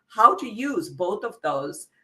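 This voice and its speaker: A woman's voice: medium pitch, sing-song, takes it slowly, bright, forceful tone. A middle-aged woman from India with an Indian accent, a psychotherapist explaining her work earnestly as a guest. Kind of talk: interview conversation.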